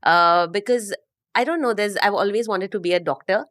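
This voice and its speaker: A middle-aged woman from Mumbai with an Indian English accent, chatting as an interview guest, often pausing with fillers and soft laughs. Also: mid-range voice. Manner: warm, hesitant, many fillers and soft laughs. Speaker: guest, connection coach. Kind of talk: casual interview conversation.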